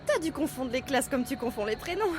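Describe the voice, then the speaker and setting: Une femme jouant une lycéenne fantôme douce. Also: female voice, sits high, lively intonation, brisk, noisy background. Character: gentle mysterious schoolgirl ghost. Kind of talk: French audio drama.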